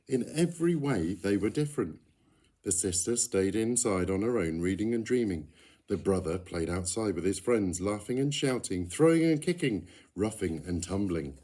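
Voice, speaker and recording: A man reading a picture book aloud in an expressive storytelling style. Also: lowish voice, moderate pace. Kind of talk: expressive children's story narration.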